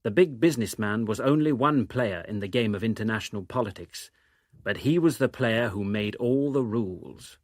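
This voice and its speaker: A man gives a measured, formal dramatic reading of literary narration. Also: fairly low pitch, no echo, studio quality. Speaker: reader of novel extracts.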